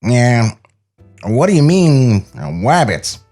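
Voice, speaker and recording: A man's voice: mid-range voice, very animated, slow, mellow, faint music behind. A man voicing a cocky, wisecracking cartoon rabbit with a nonchalant wise-guy delivery.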